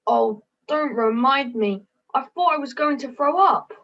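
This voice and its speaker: Young woman as highish grumbling fluffy puppet. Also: measured pace. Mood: droll grumbling. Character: scruffy, grumbling fluffy puppet, droll and put-upon. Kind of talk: amateur puppet play dialogue. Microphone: video call. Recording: muffled video call audio.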